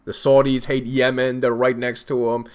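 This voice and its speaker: A man's voice: medium pitch, moderate pace, smooth. A man from Iran hosting casual online chats, talking bluntly with lots of swearing. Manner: blunt, profane, animated. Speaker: host of online video chats. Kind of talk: casual debate chat.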